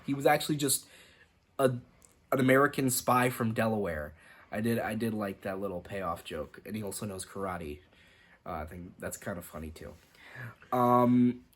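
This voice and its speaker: A young man giving a casual, rambling movie review, full of "like" and restarts. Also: medium pitch. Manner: rambling, lots of fillers and restarts. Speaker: film reviewer.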